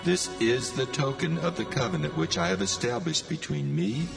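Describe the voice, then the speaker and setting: Male actor as almighty deity, very gravelly, solemn proclamation, expressive pitch swings, music behind, audio scripture drama. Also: normal speed. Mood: solemn, majestic.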